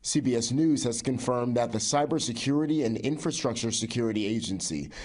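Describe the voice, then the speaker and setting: A male news correspondent delivering a measured, informative report. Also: medium pitch, a little mellow.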